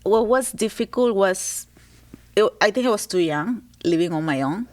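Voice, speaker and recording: A woman's voice: mid-range voice, lively intonation, moderate pace. A young adult woman from Peru, a comedian chatting casually as a podcast guest and laughing often. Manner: chatty, laughs easily, restarts words.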